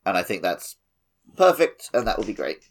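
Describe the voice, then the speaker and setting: A man's voice: sits low, very animated, normal speed, creaky. A man co-hosting a gaming podcast in a casual, conversational style. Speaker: podcast co-host. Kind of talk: casual game discussion.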